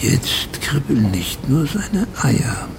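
Very gravelly male narrator. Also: mid-range voice, big pitch swings, takes it slowly, background noise, full character voice. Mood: sly. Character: wry, knowing male narrator with sly asides. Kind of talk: scripted radio play narration.

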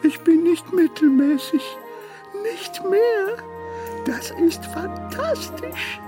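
A man playing a vain, insecure but friendly ghost in a children's radio drama, with theatrical, drawn-out delivery. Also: very high-pitched, very animated, exaggerated voice. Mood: proud, elated. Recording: music behind the voice.

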